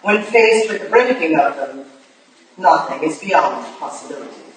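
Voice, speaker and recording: A woman's voice: low voice, animated, moderate pace. A woman playing a stern, curt, unyielding older Victorian lady detective in a staged play reading. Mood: grave. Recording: echoey hall, distant mic, hissy noise.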